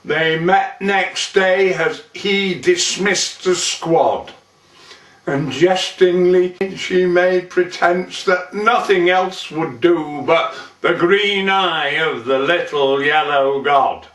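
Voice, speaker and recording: A man performing a dramatic narrative poem as an old-fashioned monologue, with measured, deliberate, theatrical phrasing. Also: sits high, a bit bright. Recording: roomy, echoing, distant microphone.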